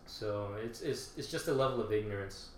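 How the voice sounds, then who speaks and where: A man's voice: on the low side, brisk, noisy background. A young man in a casual podcast chat, explaining things with many fillers. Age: young adult. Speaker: podcast co-host. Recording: echoey room with faint ambience.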